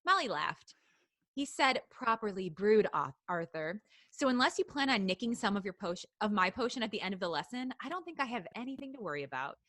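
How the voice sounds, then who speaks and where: Bright-voiced woman reading fan fiction aloud. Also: mid-range voice, big pitch swings, brisk, dry recording. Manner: reads story aloud with laughs and asides. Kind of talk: live podcast reading and casual chat.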